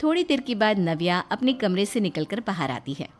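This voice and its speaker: A woman's voice: medium pitch, sing-song, normal speed. A woman reading scripted narration for a cartoon moral story. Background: faint music.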